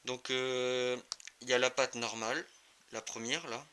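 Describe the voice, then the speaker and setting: Male reviewer, informal and hesitant hands-on demo delivery, very bright forceful tone, faintly noisy amateur recording. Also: medium pitch, steady tone, a little slow. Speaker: motorcycle gear video reviewer. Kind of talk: casual hands-on product demonstration.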